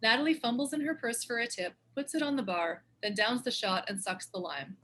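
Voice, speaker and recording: A woman's voice: fairly high pitch, fairly quick, pushed and bright. A woman narrating the stage directions of a screenplay in a scripted read.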